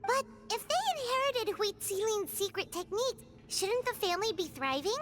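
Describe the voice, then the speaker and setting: Small inquisitive fairy sidekick, game role, extremely high and animated, over music. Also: female voice, normal speed. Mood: blunt, curious. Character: small childlike female fairy companion, perky and inquisitive. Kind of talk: video game voice acting. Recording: music behind the voice.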